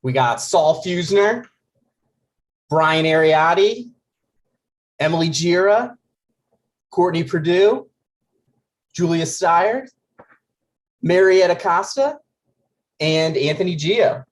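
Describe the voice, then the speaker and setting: A man's voice: a little high, expressive, slow pace, long pauses. A man hosting a live table read and narrating the screen directions of a script. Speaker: host and reader of screen directions.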